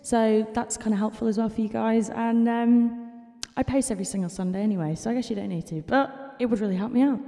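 A woman's voice: a little high, fast, long reverb tail. A young woman, chatting casually into a stand mic in a small bedroom. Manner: chatty and relaxed, sings with piano. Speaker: singer-songwriter YouTuber. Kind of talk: casual chat and singing at the piano. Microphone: studio mic on a stand. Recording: loud piano playing behind.

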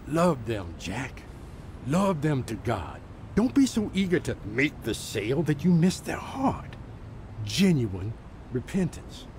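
An actor playing a gentle, earnest evangelist mentor in an audio drama, plainspoken and patient, with measured, fatherly delivery. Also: medium pitch, big pitch swings, a little hiss or hum. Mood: earnest teaching.

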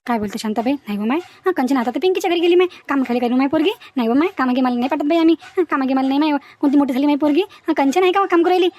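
A woman as a rapid-fire protective village mother. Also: high-pitched, a little mellow, a little hiss or hum, natural voice. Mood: alarmed, disbelieving. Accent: Vidarbha Marathi. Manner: exclamatory, emphatic. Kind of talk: animated moral story dialogue.

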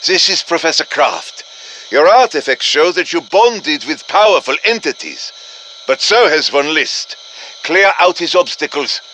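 An actor playing an urgent, commanding professor who briefs soldiers in a deliberate, dramatic manner, as video game voice lines. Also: male voice, on the high side, expressive, bright, forceful tone, a little hiss or hum. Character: urgent, commanding male professor guiding soldiers against demons. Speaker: game character voice, mission guide.

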